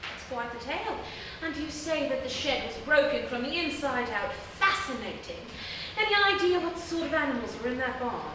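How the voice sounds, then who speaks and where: A woman acts out a pompous female professor in a radio comedy. She is wordy and dramatic, with a high, bright voice that swings widely. The sound is echoey and distant, as on a theatre stage. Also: expressive, moderate pace, slight background noise. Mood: intrigued. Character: pompous, erudite female mad-scientist professor.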